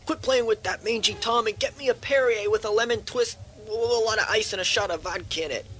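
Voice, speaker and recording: A man's voice: mid-range voice, animated, normal speed, vocal fry, bright, forceful tone, soft background music, full character voice. A man playing a cranky, demanding hospital patient in a comedy sketch, whining, blustering and grumbling out orders and insults. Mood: angry, sarcastic.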